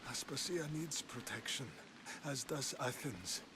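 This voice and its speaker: An actor playing an ailing older statesman in a game cutscene, halting, grave and resigned, weary and regretful but still proud. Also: medium pitch, background noise, lightly acted. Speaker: video game character actor. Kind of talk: dramatic game cutscene acting. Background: background music.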